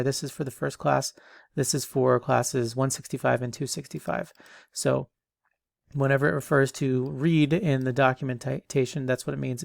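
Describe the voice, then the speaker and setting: A man's voice: fairly high pitch, gravelly, dry recording, clean studio sound. A man in his thirties giving a casual online lecture as an instructor, rambling with many ums and restarts, a bit stuffy from allergies.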